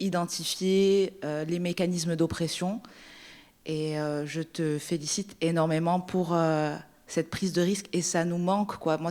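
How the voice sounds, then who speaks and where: A woman's voice: on the low side, a little mellow. A woman in the audience at a library book talk, sharing her impressions earnestly and searching for her words. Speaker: audience member. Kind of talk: spontaneous spoken comment. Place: library event room.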